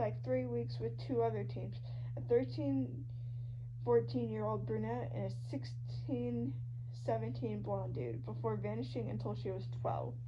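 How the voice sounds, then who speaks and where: A woman giving an animated, dramatic, slangy reading of online fan fiction, shifting between characters. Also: a little high. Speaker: podfic reader. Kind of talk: expressive fan fiction reading of social media posts. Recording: dull lo-fi audio with loud hum. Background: loud hum and noise.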